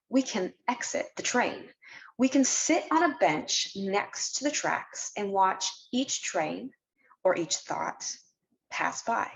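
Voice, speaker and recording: Woman, medium pitch, guided meditation narration, scripted, measured delivery, deliberate pauses, instructional. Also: low-quality recording.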